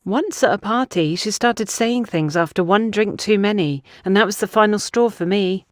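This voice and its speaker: A woman's voice: mid-range voice, moderate pace, no room sound, studio quality. A woman reading scripted fiction as an audiobook narrator.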